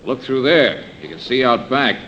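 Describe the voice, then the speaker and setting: An actor playing a wisecracking, smooth-talking private investigator in a 1950s-style radio detective drama. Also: male voice, mid-range voice, animated, moderate pace, natural voice. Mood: breezy, wry. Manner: smooth-talking, casual, teasing. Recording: dull, noisy old-time radio recording.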